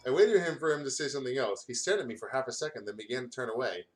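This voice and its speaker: A man's voice: medium pitch, rapid-fire, soft background music, rough recording. A man doing a comedic read-aloud of fanfiction, stumbling over words and breaking off to comment. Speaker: fanfiction reader on a gaming show.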